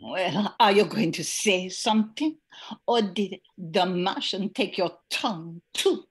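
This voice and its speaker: A woman playing a sly, teasing married old flame in a noir radio spoof, languid and husky. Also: mid-range voice, slow.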